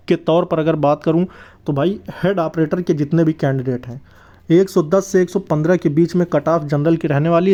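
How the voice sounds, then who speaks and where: A man's voice: a little high, warm tone. A man giving an informal update to viewers as a channel host, explaining emphatically and repeating points, with English terms mixed in. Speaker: YouTube host giving exam news. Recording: dull, lo-fi muffled sound.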